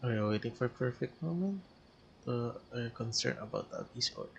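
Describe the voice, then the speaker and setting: A man's voice: mid-range voice, expressive, fairly quick, vocal fry, muffled recording, a little hiss or hum. A man with a Filipino accent streaming a game, reading and reacting aloud, with game music behind him.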